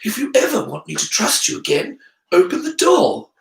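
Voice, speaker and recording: Anxious schoolboy played by a male reader: high, hesitant, polite storybook character trying to stay composed, roomy sound. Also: very animated, normal speed, vocal fry, heavily acted. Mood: trembling, firm. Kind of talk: storybook character voice in audiobook reading. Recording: roomy, distant from the mic.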